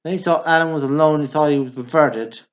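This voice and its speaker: A man's voice: on the high side, fairly even intonation, fast, highs cut off, close to an everyday voice. A male actor playing an indignant, profane older brother and war veteran in a radio play. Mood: preachy indignation. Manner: profane, blustering, preachy.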